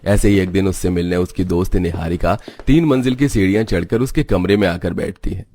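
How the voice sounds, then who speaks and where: Low-voiced man narrating a story. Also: fairly quick, dark tone, a little hiss or hum. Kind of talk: scripted cartoon story narration. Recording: music behind the voice.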